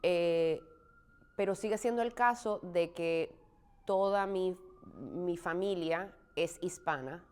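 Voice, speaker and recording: A woman raised in Puerto Rico, an actress answering interview questions thoughtfully, often pausing with fillers. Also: lowish voice, darkish tone, clean tone. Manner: thoughtful, frequent restarts and "eh" fillers.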